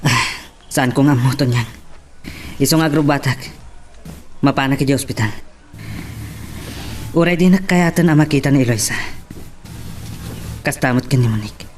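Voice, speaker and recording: A man's voice: mid-range voice, moderate pace, long pauses, a little hiss or hum, lightly acted. A man playing a troubled, earnest son of a powerful family in a radio-style drama.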